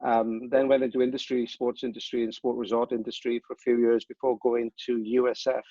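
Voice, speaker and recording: A man with a Welsh accent, a professor, introducing himself over a video call in a relaxed, plain-spoken way. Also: mid-range voice, brisk, no echo. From Wales. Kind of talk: interview guest. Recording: dull lo-fi audio.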